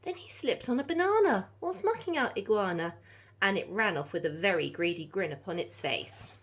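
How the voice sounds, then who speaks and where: A woman reads a children's storybook aloud in the manner of a teacher. Her delivery is very expressive, with pitch that moves widely from phrase to phrase. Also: moderate pace.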